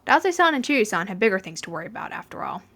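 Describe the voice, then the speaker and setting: A woman's voice: medium pitch, big pitch swings, rapid-fire. A young mafioso from the future , voiced by a woman, speaks with stern authority. He is protective and a little smug, delivering his lines firmly in a fan-fiction audio story. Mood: scoffing. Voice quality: dark, stern voice.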